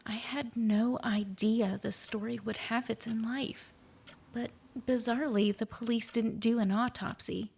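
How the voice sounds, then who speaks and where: A woman playing a remorseful character who confesses in a grave, measured way in an audio drama. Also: a little high, steady tone, creaky, darkish tone, slightly rough, exaggerated voice. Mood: grave, regretful.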